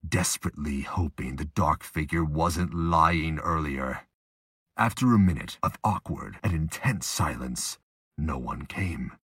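A man's voice: very deep voice, unhurried, a bit bright, husky, no echo, studio quality. A man reading scripted dramatic narration for a fan-made audio drama.

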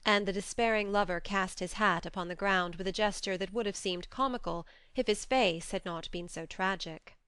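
A woman reading a novel aloud as an audiobook narrator, in expressive scripted storytelling. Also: mid-range voice, normal speed, few pauses, clear, resonant tone, no room sound.

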